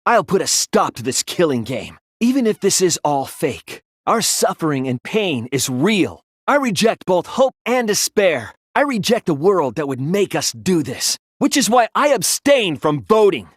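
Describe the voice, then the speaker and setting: A man's voice: sits high, very animated, unhurried, hardly any pauses, no echo, clean studio sound, exaggerated voice. A young man voicing an earnest, wounded but defiant teenage boy detective in a dramatic video game dub, emotional and emphatic. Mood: quiet, somber determination. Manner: emotional, emphatic, swinging from hushed to impassioned.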